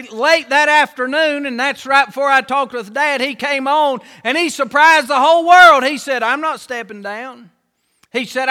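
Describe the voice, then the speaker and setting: A man's voice: extremely high pitch, sharp tone, clean tone. A man preaching a sermon, with an impassioned, repetitive cadence that rises and falls. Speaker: pastor.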